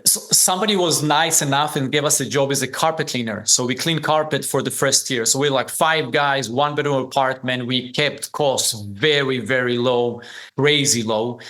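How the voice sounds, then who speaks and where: A man in his forties who speaks with an Israeli accent and has Hebrew as his first language. His voice sits at a middle pitch. He talks as a podcast guest, telling his story in a conversational way. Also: moderate pace, sharp tone. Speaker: podcast guest, business founder.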